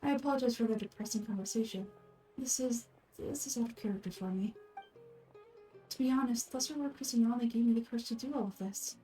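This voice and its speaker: A woman plays a frail young woman from a fantasy game. The voice is mellow and fairly high, with soft, measured delivery. The temper is gentle, wistful and accepting, over background music. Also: natural voice, rough recording. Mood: grateful.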